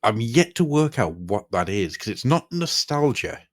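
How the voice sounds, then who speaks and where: A man's voice: mid-range voice, very animated, normal speed. A man in his forties hosting a casual podcast chat, rambling, sweary and wryly self-aware. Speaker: podcast host.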